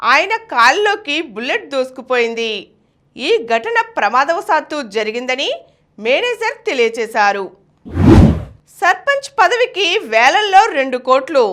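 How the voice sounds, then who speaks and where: A woman's voice: sits high, fairly bright tone. A woman news reader, delivering a scripted news bulletin.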